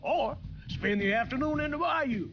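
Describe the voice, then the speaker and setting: High-pitched, swooping vintage tourism announcer. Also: male voice, animated, normal speed. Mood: upbeat, selling. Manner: upbeat, salesy. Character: cheerful 1960s tourism commercial announcer. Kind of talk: 1960s promotional advert narration. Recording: dull lo-fi vintage advert sound. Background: prominent music.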